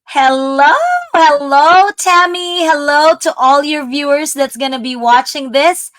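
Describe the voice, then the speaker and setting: A woman's voice: sits high, fairly even intonation, a little slow, a bit bright. A middle-aged woman from the Philippines, a business coach, chatting earnestly on a live video call. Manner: chatty, earnest, laughs easily. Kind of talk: casual live-stream chat.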